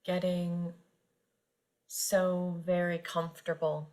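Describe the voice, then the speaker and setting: Very slow, low-pitched woman guiding meditation. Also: level tone. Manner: gentle, unhurried, with long pauses. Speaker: yoga nidra meditation guide. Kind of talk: guided meditation narration.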